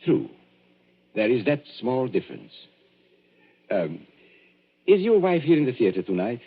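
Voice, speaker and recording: An actor playing a suave stage magician who speaks in an urbane, theatrical, mocking manner in an old radio drama. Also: male voice, mid-range voice, big pitch swings, a little slow, husky, exaggerated voice. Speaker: magician and escape artist. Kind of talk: 1940s radio drama acting. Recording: tinny, lo-fi old radio broadcast.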